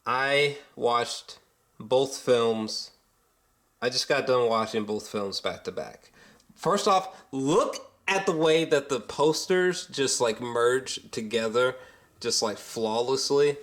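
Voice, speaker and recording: A man with a mid-pitched voice talks through an off-the-cuff movie review for a vlog. He takes his time, rambling and restarting his thoughts, often repeating himself. His delivery is that of an excitable fan who swears freely. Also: takes it slowly. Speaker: amateur movie reviewer vlogger. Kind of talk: casual unscripted vlog review.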